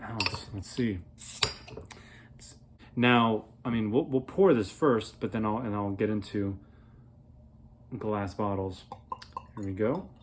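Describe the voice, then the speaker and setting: A man in his thirties reviewing a product in a casual, playful way, rambling as he thinks aloud, with a slightly husky voice. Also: lowish voice, takes it slowly, pauses often, slight background noise. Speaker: beer reviewer, vlog host.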